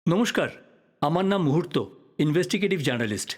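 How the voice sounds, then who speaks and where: Animated, earnest journalist. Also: male voice, fairly high pitch, fast talker, soft background music. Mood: polite, earnest. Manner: polite, earnest. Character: earnest, persistent male investigative journalist. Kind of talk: audio drama acting.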